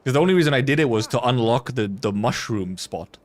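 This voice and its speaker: A man's voice: mid-range voice, expressive, fairly quick. A man giving casual live gameplay commentary as a streamer, rambling and muttering to himself. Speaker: game streamer.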